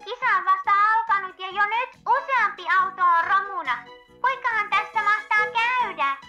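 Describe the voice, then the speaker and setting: A woman voicing an earnest, excitable young race reporter in a cartoon fandub. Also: very high voice, muffled recording, soft background music, heavily acted. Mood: excited commentary.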